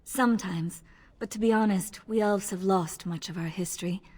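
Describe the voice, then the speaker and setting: Woman acting gentle elf lady-in-waiting in a video game, husky soft voice, very polite, music behind. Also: mid-range voice, moderate pace, natural voice. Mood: guarded, wistful. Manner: polite, deferential, soft-spoken. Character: modest, gentle elven lady-in-waiting, a young mother.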